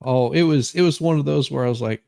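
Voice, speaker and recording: A man chatting casually as a livestream host, halting and often restarting his words. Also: medium pitch, vocal fry, dark tone, no echo. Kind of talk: casual chat.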